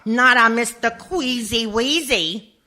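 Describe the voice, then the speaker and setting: A woman playing a nagging, indignant mob wife and mother in a comic radio play, with a sharp, scolding manner. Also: on the high side, slow.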